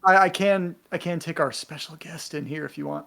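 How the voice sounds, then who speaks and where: A man's voice: fairly high pitch, fairly quick, creaky, fairly bright tone, a little hiss or hum. A man co-hosting a livestream in casual, laid-back, informal chat. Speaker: stream co-host.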